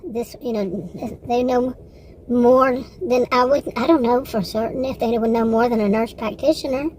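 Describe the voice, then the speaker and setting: A woman with a Texan accent, rambling casually in a personal monologue, halting often, circling back and correcting herself. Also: on the high side, darkish tone, slight background noise, low-quality recording. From Texas, United States. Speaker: vlogger.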